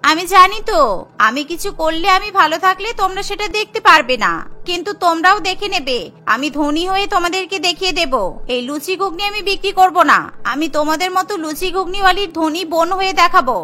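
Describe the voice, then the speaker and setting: A woman's voice: very high-pitched, quick pace, bright, forceful tone. A woman voicing a naive, stubborn teenage girl in a cartoon, speaking insistently. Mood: defiant, indignant. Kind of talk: Bengali cartoon dialogue.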